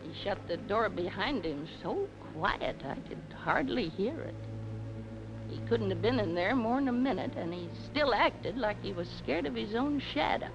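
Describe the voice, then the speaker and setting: A woman's voice: medium pitch, expressive, moderate pace, background noise, natural voice. An actress in a 1940s film playing a talkative, indignant working-class woman with colloquial grammar and a dramatic way of speaking. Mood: dramatic recounting. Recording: dull 1940s film soundtrack with music.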